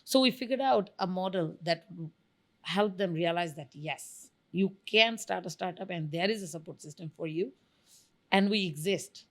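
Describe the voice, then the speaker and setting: A woman's voice: lowish voice, moderate pace. A woman from Bangladesh, answering interview questions emphatically and enthusiastically. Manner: emphatic and enthusiastic, lists examples. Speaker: guest, venture studio founder.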